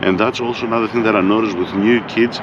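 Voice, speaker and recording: A man with a Greek accent talking in a laid-back, fairly monotone way, swearing freely and often tagging on a filler question to check he is understood. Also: on the low side, quick pace, muffled recording, noisy background. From Greece. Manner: laid-back, swears freely, keeps saying "you know what I mean". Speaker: vlogger. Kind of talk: casual monologue.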